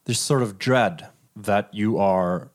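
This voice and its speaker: Low-voiced man discussing film. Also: slow pace. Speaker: film podcast co-host.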